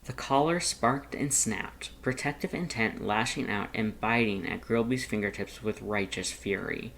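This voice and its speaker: A narrator reading fan fiction aloud as an expressive, scripted audiobook-style story. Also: mid-range voice, a little slow, creaky, a little hiss or hum.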